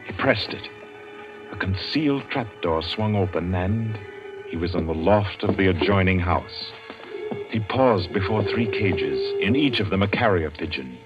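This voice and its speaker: Low-pitched man narrating vintage radio suspense. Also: lively intonation. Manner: dramatic, ominous, measured with suspenseful pauses. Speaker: announcer and narrator. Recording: muffled vintage radio broadcast. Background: loud dramatic orchestral music.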